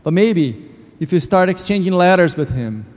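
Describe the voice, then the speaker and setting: A man shares a personal faith testimony, earnest and reflective, slowing down on the words that matter to him. His voice sits slightly high for a man. A long reverb from the church hall trails behind every phrase. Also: lively intonation, darkish tone. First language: Portuguese. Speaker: church member sharing a testimony.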